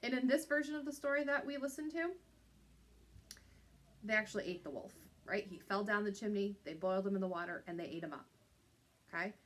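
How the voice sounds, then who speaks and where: A woman's voice: medium pitch, brisk, pauses often, a bit bright, slight background noise. A woman teacher giving an instructional lesson to young pupils, explaining step by step and checking in with little tag questions.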